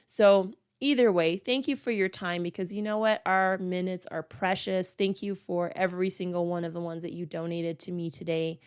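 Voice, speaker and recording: A woman's voice: lowish voice, fairly even intonation, moderate pace, hardly any pauses, smooth. A middle-aged woman hosting her own solo podcast, sounding congested and nasal, earnest and repeating words for emphasis. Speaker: podcast host.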